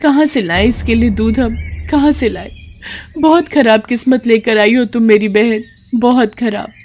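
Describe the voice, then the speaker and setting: Woman voicing a plaintive young orphan sister, pitched high. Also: a little mellow, a little hiss or hum, close to an everyday voice. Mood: tearful, despairing. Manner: plaintive, emotional, drawn-out lament.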